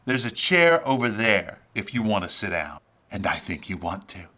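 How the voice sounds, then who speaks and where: A surly 1940s tough, played by a man from a film noir radio drama. His pitch moves around freely and the tone is bright and hard. He is curt, slangy and always needling. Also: sing-song, normal speed. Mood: surly, menacing. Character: surly, cagey, streetwise 1940s tough guy.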